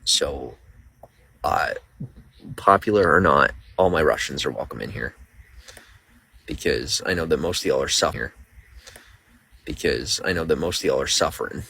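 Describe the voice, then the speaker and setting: A man's voice: rumbling low, normal speed, gravelly, pauses often, sharp tone, breathy edge. A young man streaming live, rambling casually while greeting viewers by name and reading out their comments. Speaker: livestreamer.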